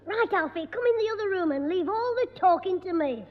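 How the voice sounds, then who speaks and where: Cheeky schoolboy, very high piping voice, bossy, old echoey radio sound. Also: male voice, expressive, a little fast. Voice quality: piping boyish voice. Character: cheeky scheming small schoolboy. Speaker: star of the show. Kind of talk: 1960s radio sitcom acting. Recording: echoey old radio studio recording, dull.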